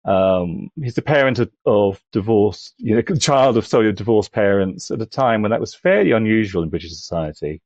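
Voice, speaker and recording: A man's voice: lowish voice, lively intonation, normal speed, few pauses, a little mellow, no room sound, dull audio. A man on a podcast panel, discussing and analysing casually in a tentative, searching, self-correcting way.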